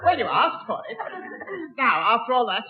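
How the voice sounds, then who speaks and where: A fussy, prim fellow who speaks with formal, easily scandalised propriety. The sound is a narrow, tinny vintage radio comedy recording. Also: male voice, very high voice, sing-song, normal speed, very noisy. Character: prim, fussy, proper friend of a schoolboy. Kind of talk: 1960s radio sitcom acting.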